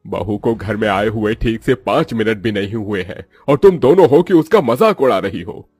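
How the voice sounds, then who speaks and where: Man playing a middle-aged family patriarch, cartoon, lowish husky voice, firm, fair-minded, reproving. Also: lively intonation, normal speed, a little mellow, no room sound, studio quality, faint music behind. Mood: stern. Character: stern but fair middle-aged father-in-law, protective patriarch. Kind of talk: Hindi cartoon moral-story voice acting.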